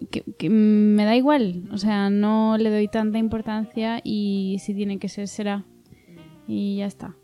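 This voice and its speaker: A woman's voice: medium pitch, mellow. Woman guest, frank and giggly, light music bed. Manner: laughs easily, candid. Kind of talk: casual chat.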